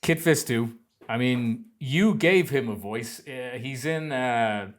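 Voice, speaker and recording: A man hosting a casual interview podcast, his voice sitting in an ordinary middle pitch. He asks eager, fan-like questions, often tripping over and restarting his words in his excitement. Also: slow pace, slight background noise. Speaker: podcast host.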